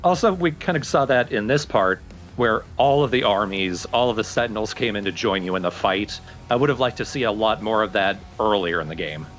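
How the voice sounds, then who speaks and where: A man with a fairly low voice talks through his views on a video game in a casual but analytical way. Soft game music sits behind his voice. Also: a little fast. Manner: analytical, opinionated. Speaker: let's play co-commentator. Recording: background music under the voice.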